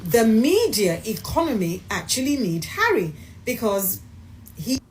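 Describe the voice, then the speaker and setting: Brief: woman commentator, slow and deliberate, very expressive pitch, faint background noise. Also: poor audio. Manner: emphatic, rhetorical questions, deliberate delivery. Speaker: commentator in a played clip. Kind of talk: opinion monologue.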